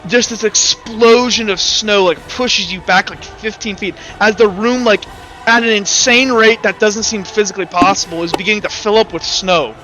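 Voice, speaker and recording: A man narrating a tabletop roleplaying game as game master, in halting, improvised, stop-start phrases. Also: high voice, poor audio.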